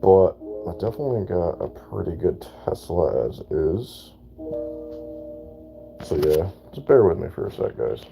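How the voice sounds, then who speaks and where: A man with a raspy voice chatting casually while streaming gameplay and reading out viewer comments. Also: sits low, sing-song, a little slow, music in the background. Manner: laid-back, chatty, reads out chat comments. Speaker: gaming streamer.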